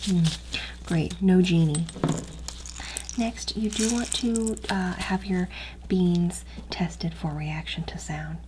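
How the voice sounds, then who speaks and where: A woman speaking softly and often whispering, with a breathy, halting, unhurried delivery, as an instructor in an ASMR training roleplay. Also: lowish voice, noisy background.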